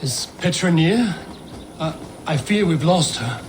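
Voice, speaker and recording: An actor playing a steadfast, wary and devout Viking warrior in a video game, measured and earnest. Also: male voice, a little high, animated, background noise. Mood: respectful, earnest.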